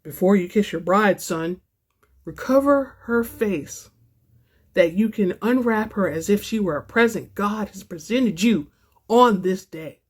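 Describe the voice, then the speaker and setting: A woman voicing a solemn male pastor with a measured, ceremonial way of speaking in a dramatic story reading. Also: mid-range voice, animated, breathy edge. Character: deep-voiced male pastor, solemn and instructing.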